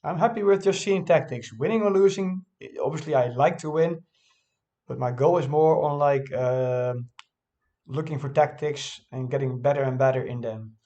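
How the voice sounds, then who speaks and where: A man's voice: fairly high pitch, moderate pace, dark tone. A man who is not a native English speaker, casually thinking aloud as he reviews his own game, hesitant and often correcting himself.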